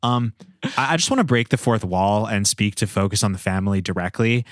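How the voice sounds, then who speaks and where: A man's voice: on the low side, a little fast, no room sound, clean studio sound. A man hosting a casual podcast, talking in a joking, mock-earnest way. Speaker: podcast host and producer.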